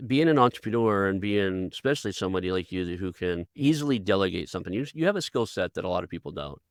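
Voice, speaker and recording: A man's voice: low-pitched, animated, quick pace, no echo, clean studio sound. A middle-aged man from Oklahoma with an Oklahoma accent, hosting a podcast interview in a rambling, folksy way. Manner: rambling, folksy, restarts often. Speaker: podcast host. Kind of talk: conversational interview.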